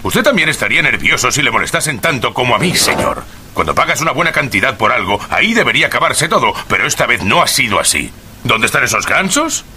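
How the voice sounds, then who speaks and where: An actor playing a blunt, stubborn, irritable poultry stallholder in a period mystery drama, gruff and combative in manner. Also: male voice, medium pitch, very animated, fast talker, bright, forceful tone, slight background noise. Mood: irritated.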